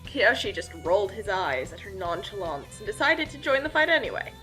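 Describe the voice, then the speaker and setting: A woman's voice: medium pitch, animated, a little fast, sharp tone, soft background music, poor audio. A woman telling a comedic story as a scripted narration, casual and tongue-in-cheek.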